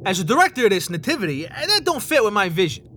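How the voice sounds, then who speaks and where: An actress playing a naive, self-important amateur play director in a comedy audio drama, earnest and guileless with loose grammar. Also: female voice, mid-range voice, big pitch swings, fast talker, fairly bright tone, slight background noise.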